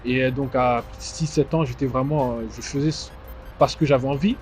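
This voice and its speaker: A man in his twenties who grew up in Strasbourg, giving conversational interview answers with frequent mid-phrase pauses. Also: medium pitch, steady tone, darkish tone. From born in DR Congo, grew up in Strasbourg, France. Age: 20s. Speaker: interview guest, fashion house founder. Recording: dull muffled sound with music behind. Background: music.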